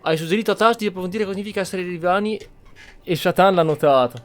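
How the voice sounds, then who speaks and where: A man with a high-pitched voice comments casually on a game. He is emphatic and opinionated, repeating himself and restarting his phrases. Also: soft background music. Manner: emphatic, repetitive, halting self-corrections. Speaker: gameplay streamer.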